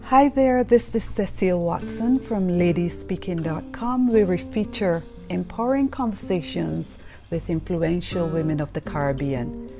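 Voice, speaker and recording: A woman host reading a formal, measured show introduction. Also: lowish voice. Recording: voice-over on background music.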